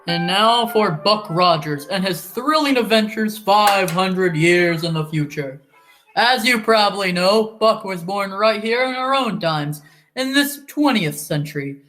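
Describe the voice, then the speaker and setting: A woman's voice: medium pitch, lively intonation, measured pace, fairly bright tone, soft background music, rough recording. A woman playing an enthusiastic old-time radio serial announcer, showy and storytelling in delivery. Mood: enthusiastic, inviting.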